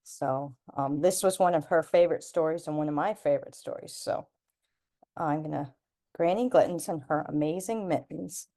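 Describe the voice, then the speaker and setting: A woman reading a children's storybook aloud expressively, with deliberate emphasis on repeated words and lists. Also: deep voice, dark tone. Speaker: storybook reader.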